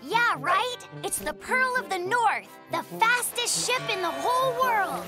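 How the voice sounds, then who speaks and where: A woman voicing a careful, gentle young polar bear cub in a cartoon, patient and sensible. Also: extremely high pitch, sing-song, measured pace, full character voice. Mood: friendly. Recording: cartoon audio with music.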